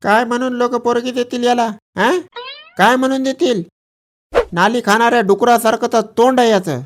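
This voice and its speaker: A man's voice: very high voice, fairly even intonation, moderate pace, a little hiss or hum. An actor playing a short-tempered, mocking village father who blusters and threatens in a comedy cartoon. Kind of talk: exaggerated comedy cartoon dialogue.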